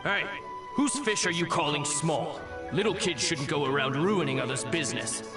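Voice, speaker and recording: A man's voice: fairly high pitch, moderate pace, lightly acted. An actor playing a grumpy adult male fish seller in an anime video game. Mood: indignant. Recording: roomy, distant sound with music behind.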